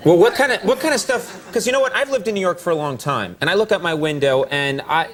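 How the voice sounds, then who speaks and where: A man's voice: high-pitched, quick pace. Teasing male talk show host. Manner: playful, teasing, lively delivery. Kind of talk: joking interview with a guest. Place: television studio with live audience. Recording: broadcast with audience noise.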